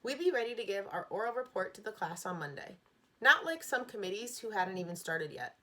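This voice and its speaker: A woman reading a children's novel aloud in a steady, expressive storytelling manner. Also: mid-range voice, a little fast. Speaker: reader.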